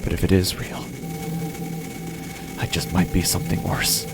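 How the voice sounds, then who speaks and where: An actor playing an anxious, unraveling office worker, narrating a first-person horror audio drama in halting, intense short phrases. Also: male voice, sits low, flat delivery, creaky, over loud music. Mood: uneasy reasoning.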